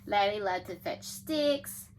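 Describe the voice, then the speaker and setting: A woman reading picture books aloud to young children in an animated, engaging way, often addressing her listeners directly. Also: medium pitch, slow, slight background noise.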